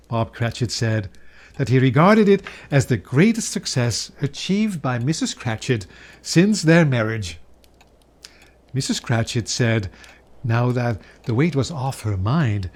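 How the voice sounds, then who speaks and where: Slow, theatrical man reading a story aloud. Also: on the high side. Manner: theatrical, savoring, with expressive pauses and exclamations. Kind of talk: dramatic reading of a Victorian Christmas story.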